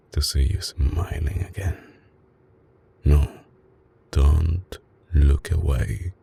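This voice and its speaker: A man playing a tender, possessive mafia boss with an Italian accent in an intimate, soft-spoken ASMR roleplay, his voice deep, breathy and husky. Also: a little hiss or hum, exaggerated voice. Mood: tender, admiring. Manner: slow, hushed, coaxing, with dramatic pauses. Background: music.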